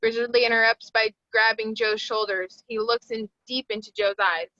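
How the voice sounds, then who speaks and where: Woman narrator, bright forceful voice, fairly high, scripted screenplay reading, dry dull lo-fi recording. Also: fairly even intonation, normal speed, no echo. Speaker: narrator reading stage directions.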